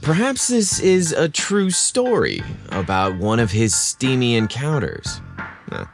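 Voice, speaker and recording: A man hosting a podcast and narrating scripted romantic stories in a theatrical, expressive manner. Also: mid-range voice, slow, music in the background. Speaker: podcast host and story narrator.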